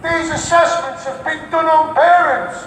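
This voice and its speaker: A man's voice: very high-pitched, steady tone, a little slow, a bit bright. A Scouse man making a confrontational protest speech through a megaphone outdoors, taunting with repeated rhetorical questions. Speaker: street campaigner and protester. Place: outdoors on a London city street outside an office building. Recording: echoey megaphone outdoors, distant, traffic noise.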